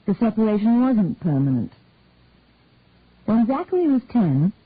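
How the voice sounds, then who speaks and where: A woman narrating a detective short story in a measured storytelling way. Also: on the high side, slightly rough, dry recording. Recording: narrow phone-like dry sound.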